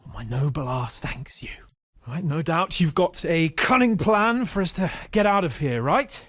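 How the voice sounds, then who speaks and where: An actor playing a haughty, hot-headed young nobleman in a video game, pompous and sharp-tongued. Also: on the high side, animated, normal speed, dry recording, clean studio sound. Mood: wry, scornful.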